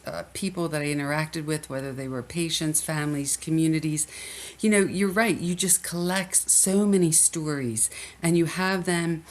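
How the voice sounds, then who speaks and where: An older woman from the Philadelphia area with a Philly accent, speaking reflectively as an interview guest with frequent restarts. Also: sits low. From Philadelphia area, USA. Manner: reflective, many restarts and fillers. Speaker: guest, nurse educator. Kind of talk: interview conversation.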